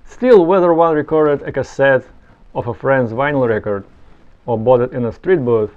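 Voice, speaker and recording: A man's voice: medium pitch, moderate pace. Dull-sounding recording of a man narrating. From Soviet Union. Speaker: video essay presenter. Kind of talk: explanatory narration, semi-scripted.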